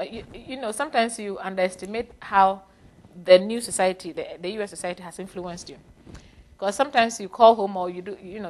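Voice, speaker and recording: Interviewee: middle-aged woman from Ghana, first language Fanti, mid-range voice, reflective and given to light laughter. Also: medium pitch. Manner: thoughtful, frequent laughs and restarts.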